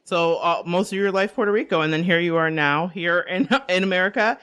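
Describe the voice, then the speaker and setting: A woman's voice: fairly low pitch, fairly quick, a bit bright, smooth. A woman from the Bronx hosting a radio talk show, introducing a guest and interviewing her, laughing often. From the Bronx, New York.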